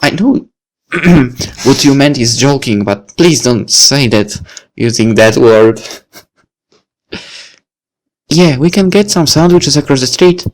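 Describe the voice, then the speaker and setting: A man's voice: mid-range voice, expressive, slow, pauses often, pitched above the performer's own voice, rough recording. A man voicing a cheerful, bossy young woman baker who teases and lectures about kitchen hygiene. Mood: teasing. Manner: teasing, prim about hygiene.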